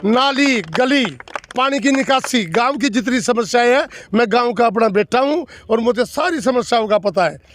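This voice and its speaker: A man's voice: very high-pitched, animated, moderate pace, fairly bright tone. A man in his sixties from the villages of North Delhi, answering interview questions as an election candidate in an earnest, emphatic way, repeating and listing points. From North Delhi villages, India. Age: 60s.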